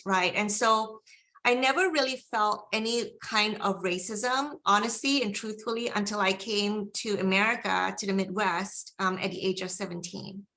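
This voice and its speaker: A woman's voice: medium pitch, bright, forceful tone, low-quality recording. A middle-aged woman from Malaysia, speaking earnestly as a guest on a podcast interview.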